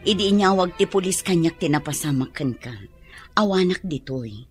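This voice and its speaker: A woman's voice: on the low side, expressive, moderate pace, faint music behind, lightly acted. A woman with an Ilocano accent playing a concerned lady of some standing in a drama.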